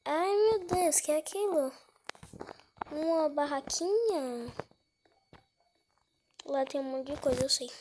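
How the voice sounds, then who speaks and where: A woman's voice: sits high, slow pace, long pauses, a little mellow, a little hiss or hum. A woman with a cold, giving casual gameplay commentary; she is chatty and easily startled, and often drops to a whisper. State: has a cold. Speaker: gaming channel host.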